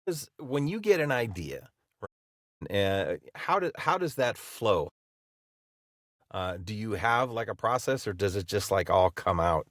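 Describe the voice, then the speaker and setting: A man with a fairly low voice co-hosts a podcast. He asks his guest questions in a loose, meandering way. The sound is dry and close, with no room echo. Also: very animated, unhurried, a bit bright. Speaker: podcast co-host. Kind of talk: casual interview questions.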